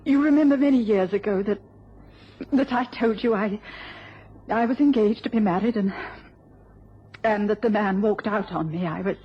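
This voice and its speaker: An actress playing a gentle, anxious, devoted wife in a radio drama, speaking politely and haltingly. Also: female voice, medium pitch, lightly acted. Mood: tearful, fearful. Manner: polite, halting, breaking off when flustered. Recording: dull, noisy old radio recording.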